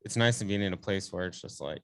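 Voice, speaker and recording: Easygoing male guest comic from California, low voice, dry close mic. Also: lively intonation, quick pace, fairly bright tone, no echo. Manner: laid-back, easygoing, chuckles. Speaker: guest comedian. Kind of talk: casual chat.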